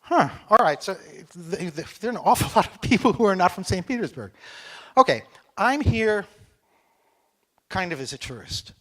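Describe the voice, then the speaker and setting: A middle-aged American man from Texas, giving a joking, chatty conference talk on stage and speaking slowly for a foreign audience. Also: high voice, big pitch swings, fairly bright tone. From Texas, United States. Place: conference hall.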